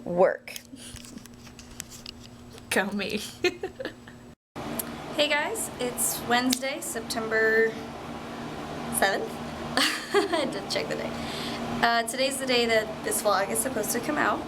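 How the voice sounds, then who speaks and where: A woman's voice: fairly high pitch, expressive, slow, pauses often, noisy background. A young American woman talking casually to viewers like a video diary, rambling in a playful, self-deprecating way. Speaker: vlogger.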